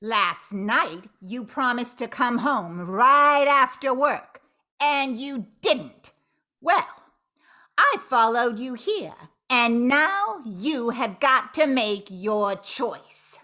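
Woman acting a brassy, sharp-voiced dame. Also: a little high, animated, drawn-out words, heavily acted. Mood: confrontational. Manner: forceful, emphatic. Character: brassy hired woman posing as a wronged wife. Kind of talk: amateur old-time radio play acting. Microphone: computer on video call.

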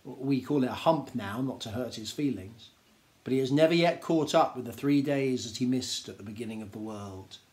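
A man, reading a children's story aloud in an expressive, measured way. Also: medium pitch. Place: small indoor room with bookshelves.

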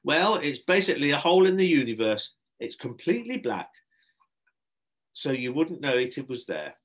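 A man's voice: a little high, big pitch swings, moderate pace, fairly bright tone, breathy edge, voice pushed up, heavily acted. A man voicing an exasperated woman who entertains at children's parties, firm, insistent and easily flustered, in a storybook reading. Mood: bright, instructive.

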